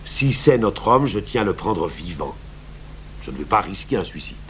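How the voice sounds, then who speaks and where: A man acting a thoughtful, stubborn police commissioner in a French radio crime drama, speaking deliberately with hesitant pauses. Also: sits low, very animated, fairly bright tone, full character voice. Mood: firm, calm. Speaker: actor playing a police commissioner. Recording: noisy 1980s radio recording with hum.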